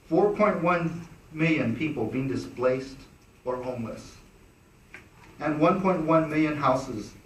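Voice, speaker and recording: Man giving formal remarks, echoey and distant. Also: medium pitch. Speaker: press conference host. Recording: echoey room, distant mic.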